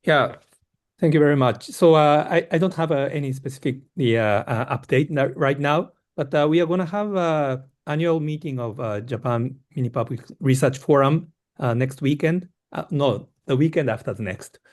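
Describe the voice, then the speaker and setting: A man giving a brief update in an online meeting, halting and full of fillers. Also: a little high. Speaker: research forum organizer. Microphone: video call.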